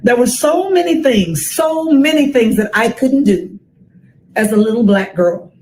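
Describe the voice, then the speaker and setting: An American woman in her sixties reads a children's story aloud with dramatic, deliberate phrasing and emphatic repetition. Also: fairly high pitch, animated, a little mellow, slight background noise, low-quality recording. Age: 60s.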